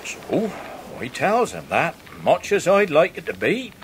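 Effusive old fisherman uncle, rustic English dialect, high swooping voice, unhurried, radio drama. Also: wildly swooping pitch, slight background noise. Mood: tender, proud. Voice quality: effusive, hearty. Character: jovial, warm-hearted old fisherman uncle, working-class. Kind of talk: full-cast radio drama acting.

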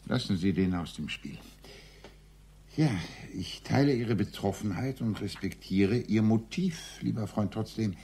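An actor playing an urbane older gentleman in a radio crime drama, formally courteous and slightly pompous, with a mellow, husky voice. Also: on the low side, lively intonation, a little slow, full character voice. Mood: dryly courteous. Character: urbane, brisk, formally courteous male card-player.

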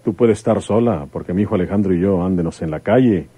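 Stern Mexican country detective, low dark voice, rustic speech, insistent questioning, radio drama. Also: male voice, quick pace, slightly rough, dry recording. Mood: pressing, suspicious. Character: stern rural detective, a father, probing and resolute. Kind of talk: old-time radio drama acting.

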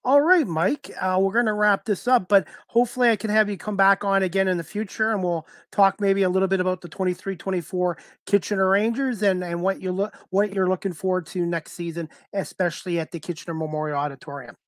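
A middle-aged man with a Canadian accent, hosting a casual interview in a halting way over a headset. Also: high voice, steady tone, dry recording, clean studio sound. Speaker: talk show host, interviewer. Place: indoors, on a video call.

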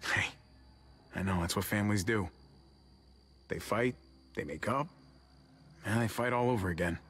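An actor playing a calm, reassuring man in his thirties, a protective survivor in a zombie video game. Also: fairly low pitch, moderate pace, husky. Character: steady, protective young uncle surviving a zombie apocalypse. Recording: faint music underneath.

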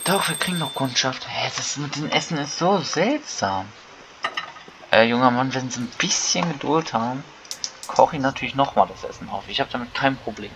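A man's voice: mid-range voice, animated, normal speed, noisy background. A man giving casual let's play commentary, thinking aloud in colloquial speech. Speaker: gaming video creator. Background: game music.

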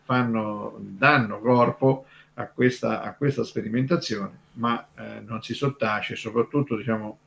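A man hosting a casual talk on radio history, speaking in a halting, digressive way and often rephrasing himself. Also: lowish voice, steady tone, vocal fry, fairly bright tone. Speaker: radio show host.

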